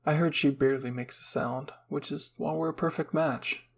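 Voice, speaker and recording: A man narrates a scripted first-person story. His voice sits a little high for a man, with a husky texture and plenty of vocal fry. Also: fairly even intonation, normal speed.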